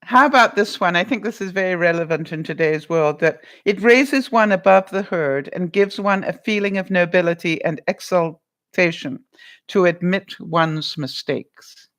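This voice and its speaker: A woman's voice: fairly low pitch, moderate pace. Chatty woman with a voice that carries. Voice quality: loud voice that carries. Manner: chatty, lively, laughs often, talks to viewers by name. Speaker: vlogger answering live chat. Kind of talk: casual livestream chat.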